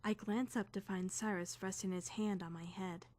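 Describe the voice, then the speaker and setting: A woman's voice: mid-range voice, steady tone, normal speed, a little mellow, close to an everyday voice. A woman voicing an earnest, indignant teenage girl student who is rigidly rule-bound, speaking formally and emphatically in a dramatic visual novel fan dub. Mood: wary, reflective. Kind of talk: dramatic first-person visual novel narration and dialogue.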